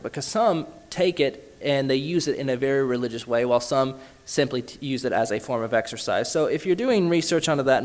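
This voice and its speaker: A man answering a question about faith in an earnest, unscripted teaching style, citing scripture with frequent fillers and restarts. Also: mid-range voice.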